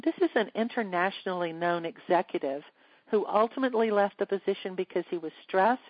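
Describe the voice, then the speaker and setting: A woman coach hosting a teleseminar, speaking in a measured, earnest, scripted way. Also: mid-range voice, no room sound, dull audio. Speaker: leadership coach and seminar host. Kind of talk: teleseminar presentation.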